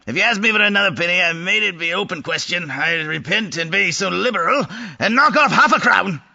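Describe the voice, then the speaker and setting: An actor playing a gleeful, sly old dealer in stolen goods in an audio drama, with a cackling old voice and chuckles between phrases. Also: male voice, high-pitched, animated, a little fast, creaky, pushed and bright, muffled recording, exaggerated voice. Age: older. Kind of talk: audio drama acting of a Victorian tale.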